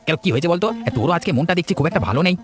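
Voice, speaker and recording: A man's voice: a little high, lively intonation, rapid-fire, music in the background, lightly acted. A man voicing a scheming, scornful village man in a cartoon, with a chatty, insistent manner. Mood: chatty, curious. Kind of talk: Bengali village cartoon voice acting.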